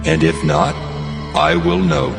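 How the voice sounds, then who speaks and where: A man playing God as a solemn, weighty judge in a dramatized scripture reading, gravelly and deliberate. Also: over music, natural voice.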